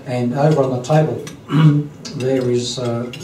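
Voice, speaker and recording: A man hosting a lunch event, giving a halting formal introduction full of ums and throat clearing. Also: mid-range voice, steady tone, mellow, slight background noise. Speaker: event host introducing a speaker. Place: dining hall at a lunch event. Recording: roomy hall, distant mic, clattering dishes.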